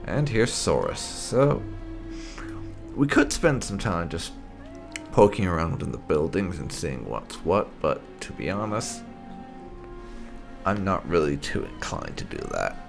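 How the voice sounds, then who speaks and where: A man's voice: sits low, wildly swooping pitch, measured pace, gravelly. A man giving casual, roleplay-flavored video game commentary, musing aloud as he plays. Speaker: gaming channel host. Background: game music.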